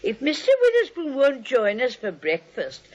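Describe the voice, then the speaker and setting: An actress playing a genteel, cheerfully dotty old maiden aunt with gentle, polite matter-of-factness in a 1940s radio drama style. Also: female voice, high voice, wildly swooping pitch, normal speed, heavily acted. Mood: politely solicitous. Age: older. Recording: muffled vintage 1940s drama recording.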